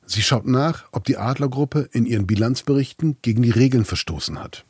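A man's voice: mid-range voice, brisk, darkish tone, breathy edge, no echo. A man narrating a scripted investigative podcast as its reporter and host.

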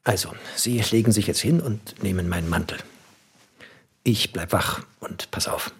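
Bookish refugee narrator, low gravelly voice, sardonic, terse, radio play. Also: male voice, moderate pace, no room sound, exaggerated voice. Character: wry, bookish refugee man narrating his journey.

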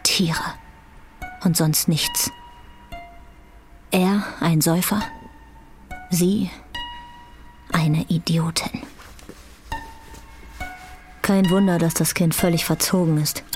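Woman narrating a radio play, flat even pitch, lots of creak, guitar music behind. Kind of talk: scripted radio drama narration.

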